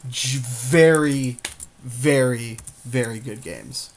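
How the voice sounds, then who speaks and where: A man's voice: mid-range voice, fairly even intonation, slow, slight background noise. A man chatting casually during a card game, agreeing in short, clipped reactions. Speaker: podcast co-host playing a card game.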